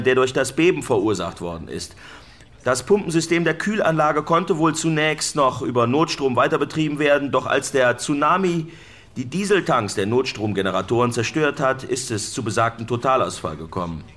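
A man's voice: mid-range voice, a bit bright, lightly acted. An actor playing a male news correspondent giving a sober, formal, factual live report in a radio play. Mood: urgent, grave. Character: male German TV correspondent reporting live from disaster-struck Tokyo.

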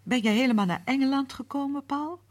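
A woman's voice: high voice, fairly quick, slight background noise, close to an everyday voice. An actress playing a grieving, hurt woman who speaks hesitantly in a radio drama. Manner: hesitant, pained. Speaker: Betty, widow of Arthur.

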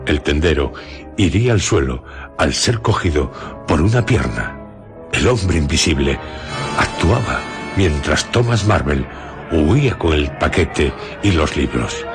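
A man narrating a radio drama in a scripted, measured storytelling style. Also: rumbling low, very animated, heavily acted. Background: music.

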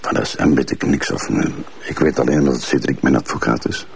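A man playing a haughty, defensive middle-aged bailiff father with a Flemish accent, gravelly and husky, denying accusations haltingly. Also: very deep voice, steady tone, slight background noise. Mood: firm denial. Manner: halting, repetitive denials.

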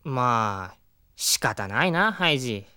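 A woman's voice: extremely low pitch, very animated, measured pace, pushed and bright, smooth, no echo, studio quality, exaggerated voice. A woman voicing a wry, long-suffering boyish sidekick in a Japanese comedy audio drama, blunt and teasing. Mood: dry, resigned.

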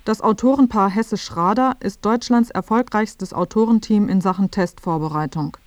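A woman's voice: mid-range voice, normal speed, a little mellow, dry recording. A woman reading scripted narration for a radio feature.

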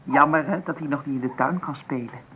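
An actress playing a chatty, blunt young sister-in-law in a radio play, inquisitive and skeptical. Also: female voice, a bass voice, brisk. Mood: blunt, sympathetic. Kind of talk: Dutch radio play acting. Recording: tinny, slightly noisy old radio recording.

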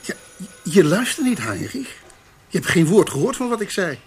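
A man's voice: high-pitched, big pitch swings, moderate pace, slight background noise. A man acting a sceptical, chatty colleague in a radio drama, doubting and conversational. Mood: exasperated. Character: sceptical, chatty male colleague arguing with his friend.

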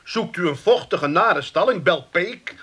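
A man's voice: sits high, animated, unhurried, pushed and bright, breathy edge, heavily acted. An actor playing a sceptical, wisecracking working man in a comic radio drama, with a dry delivery. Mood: sarcastic. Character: sardonic, sceptical son and bicycle-garage keeper.

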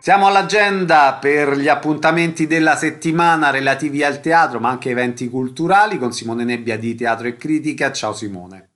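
Male radio presenter, mid pitch, scripted host delivery for interviews and links. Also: normal speed, few pauses, a bit bright, faint music behind.

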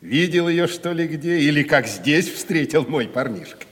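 An actor playing a crafty, uneducated provincial timber merchant in a Russian radio play, wheedling and mock-humble in a folksy way. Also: male voice, a little high, expressive, measured pace, fairly bright tone, husky, full character voice. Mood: folksy, ingratiating. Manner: wheedling, mock-humble, colloquial peasant turns. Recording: reverberant 1970s radio play recording.

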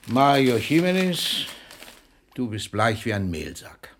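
An actor playing an older, famous poet in a German radio drama, declaiming with mockery and fatherly authority, swinging between booming and hushed delivery. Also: sing-song, takes it slowly, a little hiss or hum. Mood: teasing, dramatic warning. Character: older famous poet, theatrical, mocking, fatherly toward a young postman.

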